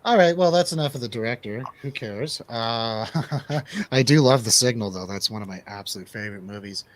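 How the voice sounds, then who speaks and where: A man's voice: medium pitch, fairly quick. A man in casual movie review conversation, talking in an enthusiastic, slangy way. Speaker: film review show host.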